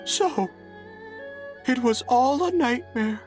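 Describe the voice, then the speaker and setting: Childlike tanuki spirit: earnest, gullible game creature, high and deliberate, declaiming. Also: female voice, animated, a little mellow, faint music behind. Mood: doubtful. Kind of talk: video game voice acting.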